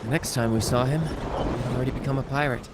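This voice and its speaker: An actor playing a flamboyant, charming young bard in a video game, with a theatrical, flourishing delivery. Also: male voice, mid-range voice, steady tone, fast talker, heavy background noise, natural voice. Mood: reflective. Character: flamboyant young male bard and troupe performer, charming showman.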